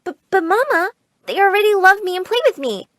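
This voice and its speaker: A woman's voice: very high-pitched, very animated, brisk, fairly bright tone, no room sound, clean studio sound, voice pushed up, heavily acted. A woman voicing a spoiled, stubborn young girl who whines and pleads. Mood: pleading. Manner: whiny, pleading.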